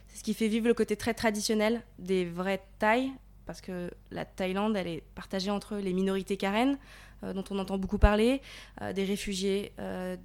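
A woman's voice: mid-range voice, darkish tone. Woman in her twenties, from the Paris region, thoughtful, explains at length. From Paris region, France. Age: 20s. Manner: thoughtful, explanatory, frequent fillers. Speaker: guest, volunteer. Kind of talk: interview answers.

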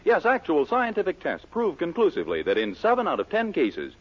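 A man's voice: sits high, huge pitch swings, fairly quick, slightly rough. An American man announcing from a script on 1940s radio, emphatic and polished. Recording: muffled 1940s radio recording.

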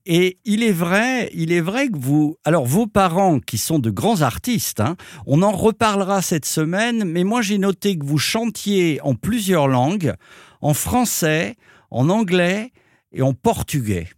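Animated, unhurried French radio host. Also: male voice, sits high, dry recording, studio quality. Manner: courteous, enthusiastic interviewer. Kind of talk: radio interview.

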